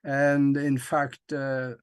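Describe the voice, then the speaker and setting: A man from Norway hosting an interview, asking deliberate, measured questions. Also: medium pitch, completely flat delivery, clear, resonant tone, no echo, clean studio sound. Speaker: interview host.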